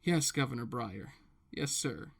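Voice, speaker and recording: A man's voice: mid-range voice, animated, measured pace, gravelly, breathy edge, no room sound, studio quality, far from the mic, full character voice. A man acting a drunken, submissive father in an audio drama, stammering and pleading. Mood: cowed. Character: cowed drunken father and tavern keeper, pleading.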